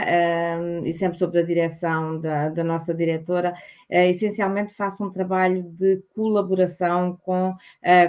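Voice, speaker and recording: A woman's voice: fairly low pitch, a little mellow. A woman from northern Portugal, a community organizer, answering interview questions in a formal, methodical way.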